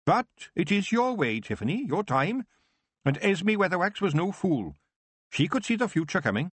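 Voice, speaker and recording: A man reading aloud, voicing a nosy, brisk elderly woman who is a witch and runs a shop. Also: high-pitched, very animated, husky, dry recording, pitched above the performer's own voice, full character voice. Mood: brisk, probing. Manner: brisk, probing, motherly.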